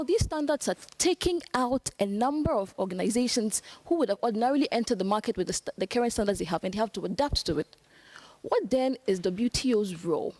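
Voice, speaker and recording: Young female panelist from Africa, fairly high voice, earnest and emphatic, very dry sound. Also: a little mellow, no room sound, low-quality recording. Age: young adult. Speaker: youth panelist. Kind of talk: panel discussion remarks.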